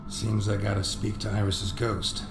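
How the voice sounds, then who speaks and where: Under loud music, in an echoing space, a man acts out a gruff game hero, a weary monster hunter. His voice is low and gravelly, his delivery clipped and wry. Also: level tone, normal speed, close to an everyday voice. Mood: terse, suspicious. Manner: terse, dry, clipped delivery. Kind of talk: video game character dialogue. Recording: roomy reverb, loud game music behind.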